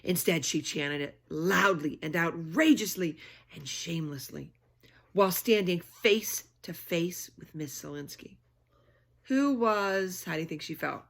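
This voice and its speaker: Woman reading aloud to children, animated. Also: fairly low pitch, measured pace, vocal fry. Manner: animated, prompting listeners with questions. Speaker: read-aloud storyteller. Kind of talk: expressive children's read-aloud with chatty asides.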